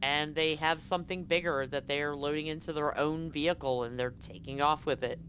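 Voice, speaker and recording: A woman's voice: low voice, steady tone, a little fast, fairly bright tone. A woman narrating a tabletop game as game master in a casual, blunt, conversational way. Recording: noticeable background noise on live stream.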